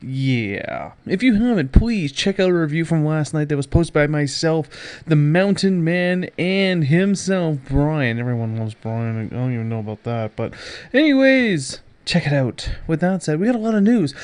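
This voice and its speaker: A man's voice: on the high side, lively intonation, normal speed, a little mellow. A man hosting a casual pop culture news podcast, emphatic, sarcastic and given to swearing. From Canada. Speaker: podcast host.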